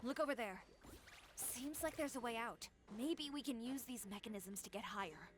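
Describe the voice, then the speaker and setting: A woman voicing a composed, determined woman who owns a boutique, speaking in a calm, measured, matter-of-fact way. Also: on the high side, close to an everyday voice. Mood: focused. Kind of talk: video game voice acting.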